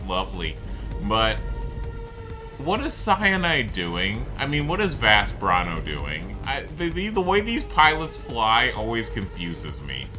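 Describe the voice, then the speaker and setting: A man's voice: fairly low pitch, huge pitch swings, slow pace, music in the background. A man giving unscripted game commentary, explaining things in a rambling way and circling back to restate his points. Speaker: gameplay video host.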